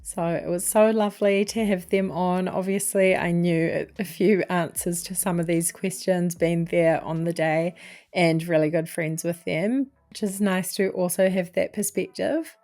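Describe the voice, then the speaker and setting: A woman's voice: lowish voice, fairly even intonation, moderate pace, darkish tone. A woman with a New Zealand accent, hosting a podcast in a friendly, chatty interview style. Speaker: podcast host, wedding photographer.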